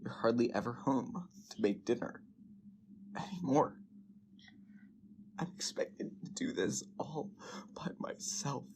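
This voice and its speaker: Woman playing a slow-spoken, resentful husband. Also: extremely low pitch, animated, a little mellow, whispered, exaggerated voice, low-quality recording. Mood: sarcastic, resentful. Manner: halting, sarcastic, aggrieved. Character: resentful, neglected, bitter husband in a failing marriage. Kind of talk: audio drama acting.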